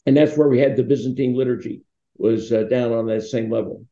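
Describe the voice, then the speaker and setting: A man's voice: medium pitch, steady tone, a little fast, dark tone. An American man in his sixties reminiscing in a conversational interview, telling anecdotes in a rambling way. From Pittsburgh, United States. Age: 60s. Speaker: interview guest, foundation founder. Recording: dull, roomy, echoing sound.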